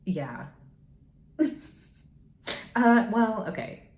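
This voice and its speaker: A woman's voice: mid-range voice, big pitch swings, very slow. A young woman rambling through get-to-know-me questions in a casual vlog, full of hedges and dry, sarcastic asides. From United States. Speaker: YouTuber. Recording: echoey room, faint noise.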